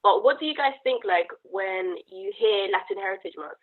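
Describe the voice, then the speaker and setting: A woman's voice: medium pitch, expressive, normal speed, creaky. A woman hosting a casual panel discussion over a video call. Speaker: podcast host. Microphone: remote video call. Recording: thin, phone-like video call audio.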